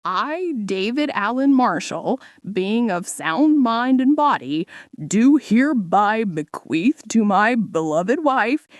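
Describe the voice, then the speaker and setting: A woman voicing a formal male lawyer reading out legal text in an audio story, in a measured manner. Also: on the high side, no echo.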